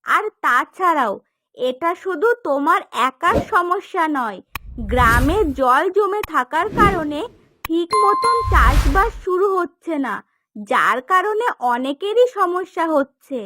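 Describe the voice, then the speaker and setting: A woman voicing a concerned, sensible village woman urging action in an animated folk tale. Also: very high-pitched, slow, music in the background, full character voice.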